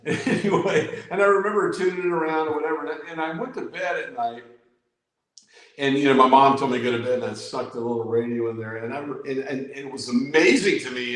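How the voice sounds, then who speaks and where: Man in his sixties, echoey room. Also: medium pitch. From Virginia, USA. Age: 60s. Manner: enthusiastic storyteller, many "you know"s. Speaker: guest. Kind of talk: casual live-stream chat.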